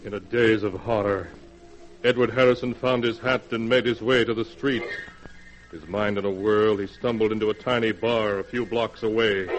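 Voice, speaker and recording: A man's voice: fairly low pitch, muffled recording. A man narrating a 1940s radio drama in a dramatic, urgent manner. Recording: old radio recording with organ music.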